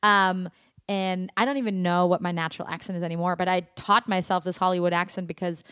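A woman's voice: lowish voice, fairly even intonation, quick pace, fairly bright tone, clean tone, no room sound, clean studio sound. A young adult woman from Germany, a native German speaker with a mostly American accent, chatting casually as an actress guest, with frequent fillers and laughs. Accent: American with slight German. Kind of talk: casual interview chat.

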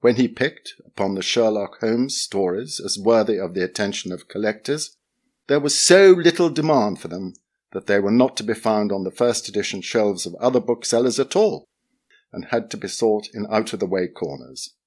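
A man giving a measured, expressive reading of a literary memoir essay. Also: lowish voice.

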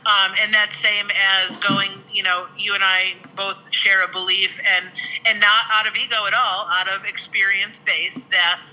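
A man's voice: high-pitched, flat delivery, normal speed, pushed and bright, poor audio. A man co-hosting a podcast, talking in a reflective, rambling way and agreeing often. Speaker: podcast co-host. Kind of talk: casual podcast chat.

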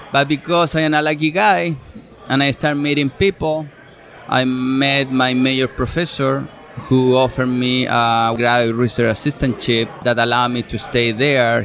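A man's voice: mid-range voice, vocal fry, a bit bright. A middle-aged man from Chile with a Chilean Spanish accent, a fruit specialist explaining his work in an interview with frequent fillers and restarts. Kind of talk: relaxed interview answers. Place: outdoor farm show event.

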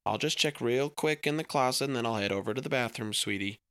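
A man's voice: medium pitch, fast, fairly bright tone, clear, resonant tone, dry recording, studio quality, voice pushed up, close to an everyday voice. A man voicing a folksy, overly sweet small-framed father who talks in homespun phrases. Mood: cheery folksy.

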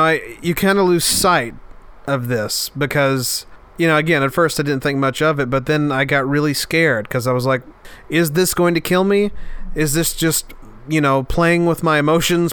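A man's voice: medium pitch, fairly bright tone, smooth. A male podcast host speaking with a nasal, stuffed-up voice in an earnest, heartfelt, reflective monologue. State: stuffed up after an illness.

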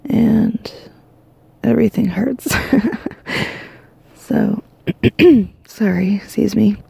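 Exhausted woman murmuring, gravelly and dark. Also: medium pitch, very slow, dry recording. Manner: soft, drowsy, half-whispered, rambling. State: exhausted, losing her voice. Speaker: podcast host. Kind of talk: sleepy bedtime monologue. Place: lying in bed at home.

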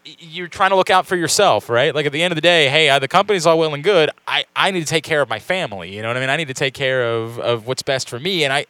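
A male host with a smooth, clear voice and a bright, forceful tone. He talks with eager enthusiasm and stumbles over his words. The sound is close and dry. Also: on the high side, quick pace, few pauses, no room sound. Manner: enthusiastic, stammering restarts. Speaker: interview host. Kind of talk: casual interview talk.

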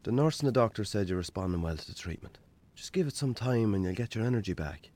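An actor playing a defensive, hard-drinking Irish bachelor farmer in a naturalistic radio drama, colloquial and dryly sarcastic. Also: male voice, lowish voice, animated, fast talker, a little mellow. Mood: caring, patient.

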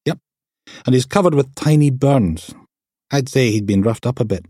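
Male reader as female morgue technician, blunt, coolly observant, clipped delivery, raised pitch, audiobook dialogue. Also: normal speed, mellow, breathy edge, dry recording, clean studio sound, natural voice. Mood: brisk, clinical. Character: blunt forensic pathology technician woman, businesslike, coolly observant.

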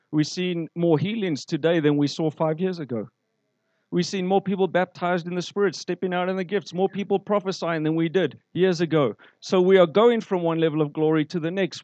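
Male pastor, slightly high voice, storytelling sermon, muffled, dry recording. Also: moderate pace, darkish tone, dry recording. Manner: storytelling preacher, asks the congregation questions.

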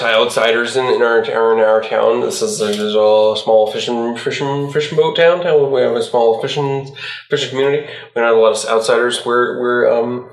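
A man's voice: mid-range voice, a little hiss or hum, lightly acted. Man acting stammering small-town cop, roomy sound. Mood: awkward, dithering. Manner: halting, stammering, repeats words. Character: bumbling small-town fishing village policeman. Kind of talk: improvised comic radio play. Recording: echoey room sound.

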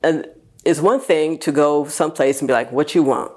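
Woman, husky low voice, dry wry storytelling, drops almost to whispers. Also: normal speed, a little mellow. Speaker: community interviewee. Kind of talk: humorous anecdote.

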